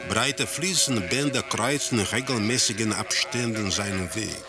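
An actor playing a detached male narrator in a radio drama, describing surreal scenes in a measured, scripted way. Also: fairly low pitch, over music, natural voice.

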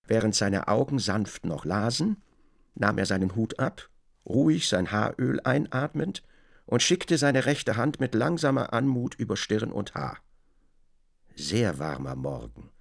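A man narrating a literary radio drama in a measured, deliberate storytelling manner. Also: lowish voice, animated, creaky.

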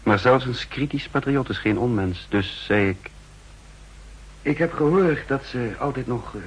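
An actor playing a tense, brooding spy who narrates introspectively in clipped, dramatic phrases in a 1960s radio drama. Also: male voice, medium pitch, unhurried, breathy edge, rough recording. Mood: wry, wary. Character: tense, brooding male spy living under false identity. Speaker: lead actor and first-person narrator.

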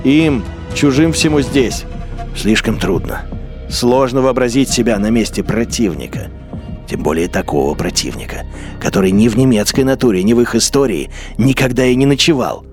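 A man narrating a radio drama in a bitter, measured inner-monologue voice. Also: mid-range voice, music in the background.

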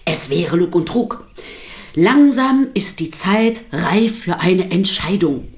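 Lower-voiced sardonic pastor's wife. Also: female voice, lively intonation, takes it slowly, darkish tone. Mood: troubled recounting. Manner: dry, articulate, dismissive. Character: sceptical, sardonic pastor's wife under questioning. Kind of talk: naturalistic radio drama acting.

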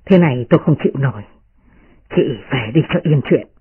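A soft-hearted young nobleman, lowered into a man's voice by a woman reader, sounds worn out and quick. The voice is husky, heard over a thin, muffled radio line. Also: expressive, full character voice. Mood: exasperated.

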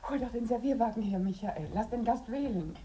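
An actress playing an effusive, house-proud wife who welcomes a guest, gushing and proudly chatty in a radio play. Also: female voice, fairly high pitch, a little fast, dark tone, slight background noise, close to an everyday voice. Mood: eager welcoming.

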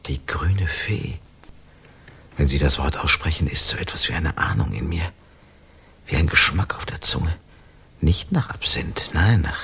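A man's voice: rumbling low, a little slow, vocal fry, pauses often, a little hiss or hum, exaggerated voice. An actor playing an agitated, probing amnesiac man with a head wound in a German radio crime drama. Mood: brooding, wistful. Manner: probing, insistent, stammering when excited.

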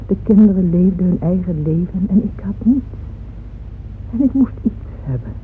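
An actress playing a wealthy, lonely middle-aged widow in a radio drama, yearning and confiding in a halting, intimate way. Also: female voice, fairly low pitch. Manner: hesitant, halting, confiding, at times near whispering. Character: wealthy lonely widow, wistful and yearning, addressing her absent beloved. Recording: muffled phone-like old radio recording, faint noise.

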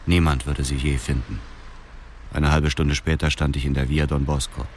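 An actor playing a cold, vengeful widower who narrates his brutal deeds in a detached, measured crime radio drama. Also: very deep voice, level tone, noisy background. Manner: detached, measured, matter-of-fact. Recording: music under the voice.

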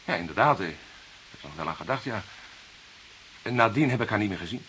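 A man's voice: lowish voice, animated, fast talker, a bit bright, poor audio. An actor playing a nervous, defensive murder suspect in a Dutch radio drama, hesitant and stammering as he repeats himself. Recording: hissy radio play recording.

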